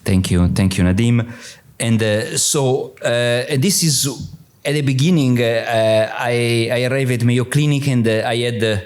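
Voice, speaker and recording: A middle-aged man with an Italian accent, giving a conference panel talk as a surgeon, halting with many filler sounds. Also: mid-range voice, smooth. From Italy.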